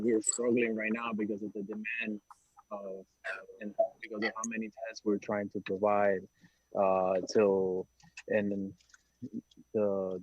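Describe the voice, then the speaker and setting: Halting low-voiced man, flat delivery. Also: no echo, muffled recording. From Dominican Republic. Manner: halting, many "uh" fillers and restarts. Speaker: nonprofit testing organizer. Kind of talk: briefing a council meeting.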